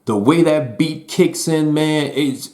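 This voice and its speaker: A man giving a casual album review, talking in a relaxed, conversational way with slang and fillers. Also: fairly high pitch, takes it slowly. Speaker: music reviewer.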